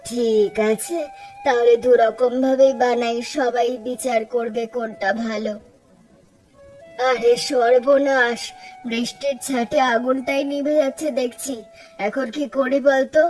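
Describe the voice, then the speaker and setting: A woman voicing a homely, chatty village housewife in cartoon story dialogue, expressive and conversational. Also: on the high side, unhurried, soft background music, natural voice, poor audio. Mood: chatty, competitive.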